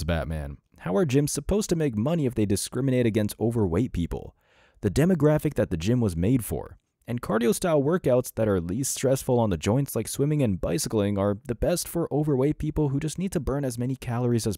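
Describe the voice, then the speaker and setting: A man's voice: mid-range voice, warm tone, no echo, studio quality. A man delivering scripted reaction commentary as a host, in a mocking, sarcastic and blunt manner.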